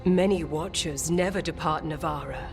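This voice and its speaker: Low-voiced, formal mage elder. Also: female voice, vocal fry. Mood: composed, grave. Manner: measured, formal. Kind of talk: video game voice acting. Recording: music behind the voice.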